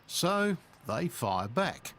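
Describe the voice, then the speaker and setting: A man's voice: fairly high pitch, sing-song, extremely slow, heavy background noise. An Australian man reading scripted current-affairs narration as a TV news reporter, with a punchy, sensational delivery. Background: music.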